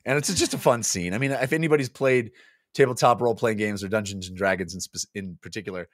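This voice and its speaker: A man in his forties hosting a book club, chatting casually and enthusiastically, with halting repeated words, on a close studio mic. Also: on the low side, no room sound. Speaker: book club podcast host. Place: small home room, indoors. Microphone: close studio mic on boom arm.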